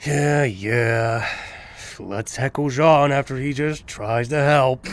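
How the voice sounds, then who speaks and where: A man's voice: medium pitch, slow pace, lightly acted. A man playing a dry, defensive but caring young survivor in a casually acted audio drama.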